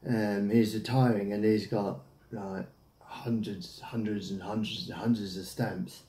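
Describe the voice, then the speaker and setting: A man's voice: fairly low pitch, fairly even intonation, a little slow, dark tone. A man in his thirties with an English accent chats casually as a caller, hesitant and rambling, in a domestic room. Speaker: prank caller. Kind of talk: casual phone chat. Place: small domestic room, indoors. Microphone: camera at about arm's length. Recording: echoey room.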